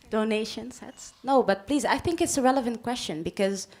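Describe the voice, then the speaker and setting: A woman's voice: fairly high pitch, moderate pace, darkish tone. A woman with a Belgian Dutch accent moderating a live panel, laughing easily and talking loosely, on a handheld mic in a cafe. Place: cafe of an arts venue. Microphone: handheld microphone.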